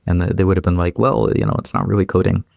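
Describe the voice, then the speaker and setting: Interview guest: man in his 30s, fast and loose, low-pitched, dry close sound. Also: level tone, vocal fry, darkish tone, dry recording, dull audio. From Ukraine. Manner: quick, many fillers and repeated restarts. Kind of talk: casual interview chat.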